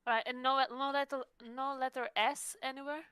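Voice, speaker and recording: A woman's voice: high-pitched, steady tone, moderate pace, a bit bright, smooth, no room sound, studio quality. A woman playing a tabletop roleplaying game, chatting casually and asking lots of questions.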